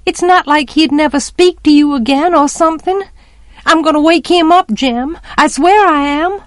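A woman's voice: high-pitched, normal speed, no room sound, voice pushed up, natural voice. A woman voicing a stubborn, anxious young Southern girl who pleads urgently, in an audiobook story. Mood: pleading, insistent.

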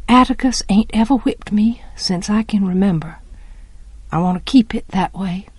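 Woman as a hushed, muttering, stubborn boy. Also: medium pitch, normal speed, slightly rough, no echo, natural voice. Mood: hushed, patient. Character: stubborn, secretive older brother, a boy about twelve.

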